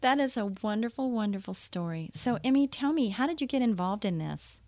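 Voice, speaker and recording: A woman's voice: on the high side, lively intonation, a little fast, a little mellow, no room sound. A woman hosting a call-in radio show, chatty and enthusiastic, laughing easily with her guest. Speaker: radio host.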